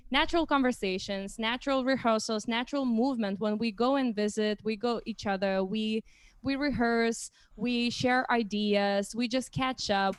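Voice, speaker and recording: A woman's voice: fairly high pitch, smooth, no room sound. A young woman hosting a casual livestream chat, speaking hesitantly and often restarting phrases. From Lithuania. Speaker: host.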